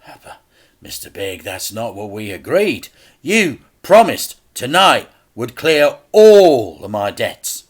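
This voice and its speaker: A man's voice: medium pitch, very animated, slow. A man voicing a desperate, protective father in a children's story, pleading in a strained, stammering way. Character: desperate, pleading dad, protective of his son.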